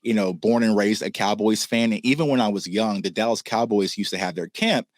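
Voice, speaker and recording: A man's voice: lowish voice, brisk, dry recording, studio quality. A man from Oklahoma and Texas, a football reporter talking casually and anecdotally as an interview guest. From Oklahoma and Texas, United States.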